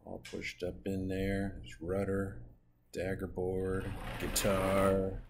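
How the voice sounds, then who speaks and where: A middle-aged man casually narrating boat maintenance in his garage and woodshop, relaxed and thinking aloud with a few pauses, picked up by a camera mic a little way off. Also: deep voice, noisy background. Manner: relaxed, explains as he works, some hesitations. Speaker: boat builder and vlogger.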